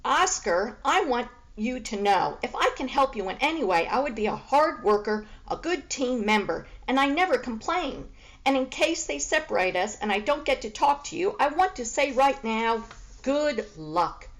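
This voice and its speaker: A woman's voice: on the high side, lively intonation, normal speed. A woman voicing an eager, earnest young female pig with an inquisitive manner in a storybook reading.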